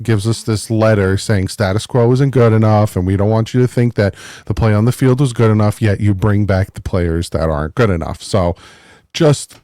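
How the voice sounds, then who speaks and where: A man talking football in a casual podcast chat, animated and exasperated, slipping into mocking voices. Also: on the low side, no echo, clean studio sound. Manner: animated, mocking the front office in put-on voices. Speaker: guest fan analyst.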